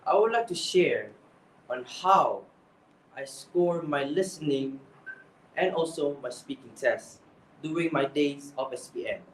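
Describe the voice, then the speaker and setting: A man's voice: fairly high pitch, lively intonation, measured pace, slight background noise. A young man with a Malaysian accent giving exam tips, earnest and often restarting his phrases. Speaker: English speaking coach. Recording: echoey room, voice from far off.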